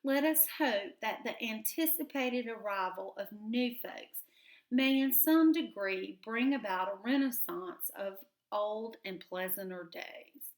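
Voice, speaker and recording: A woman's voice: on the high side, slow pace, rough recording. A woman voicing a dignified male rabbit father who speaks in formal, stately words.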